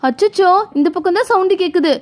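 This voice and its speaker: A woman's voice: very high voice, fast, a little mellow. A woman voicing a protective, indignant mother in a children's toy story. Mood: indignant concern. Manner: indignant, caring.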